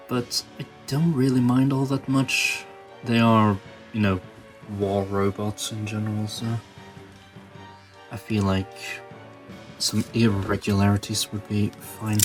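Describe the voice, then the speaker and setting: A man with a fairly low voice talks casually through hands-on work as a tutorial host. His delivery is halting, as if thinking aloud, and music plays behind him. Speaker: toy customizing video host.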